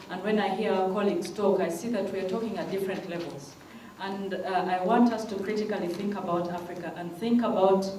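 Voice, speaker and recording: Woman at a conference, insistent, low voice, mellow tone, distant mic in an echoey room. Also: slight background noise. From Uganda. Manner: earnest, insistent, repeats "I want us to think". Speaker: legal aid program worker, panel participant. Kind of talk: conference floor remarks.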